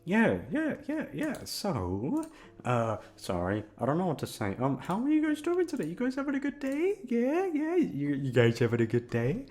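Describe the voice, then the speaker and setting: A man chats casually to his audio listeners as a performer signing off. He is awkward and goofy, rambles and swears, and his pitch swings wildly into silly high tones. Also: wildly swooping pitch, moderate pace, darkish tone, soft background music.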